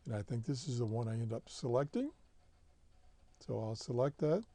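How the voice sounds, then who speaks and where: A man's voice: medium pitch, lively intonation, moderate pace, mellow, dry recording. An American man hosting a hobby show, giving a casual, plain-spoken tutorial step by step over a screen recording. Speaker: host of a shortwave radio hobby show.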